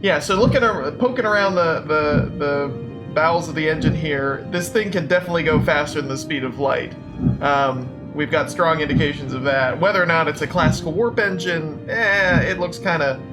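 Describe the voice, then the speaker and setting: Wry higher-voiced male gamer over music. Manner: joking, wry. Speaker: tabletop game player. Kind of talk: casual in-character game chat. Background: background music.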